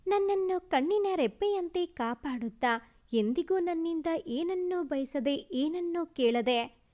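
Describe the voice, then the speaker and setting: A woman's voice: high-pitched, darkish tone. A woman reading a scripted story as its narrator.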